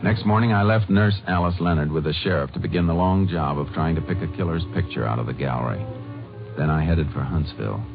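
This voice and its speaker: A muffled vintage radio recording of a stern Texas Ranger. The voice is very deep, matter-of-fact and clipped, in crime drama style. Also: male voice, quick pace, over loud music. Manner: terse, matter-of-fact, unruffled. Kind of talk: 1950s radio drama acting and narration.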